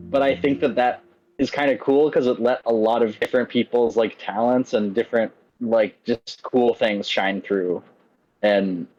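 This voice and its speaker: A man's voice: mid-range voice, a little mellow. A man chatting casually as a developer guest, earnest and hesitant, leaning heavily on filler words. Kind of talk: casual chat. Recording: dull lo-fi mic, faint music.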